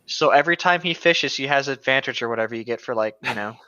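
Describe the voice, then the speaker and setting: Male co-host, bright forward voice, casual chat and story reading, slightly lo-fi recording. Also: medium pitch, fast talker, clear, resonant tone, no room sound. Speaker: podcast co-host. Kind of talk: casual chat and reading gaming stories aloud.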